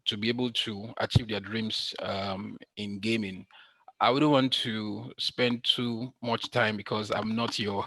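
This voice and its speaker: A man giving a formal, somewhat halting welcome to an event. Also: fairly low pitch, vocal fry, a bit bright, no room sound, rough recording. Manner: halting, hesitant. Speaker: event opener.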